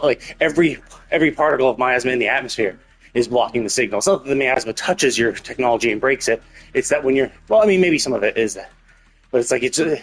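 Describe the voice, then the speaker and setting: A man's voice: mid-range voice, husky. A man doing casual live game commentary, musing aloud in a rambling, hedging way. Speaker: game streamer.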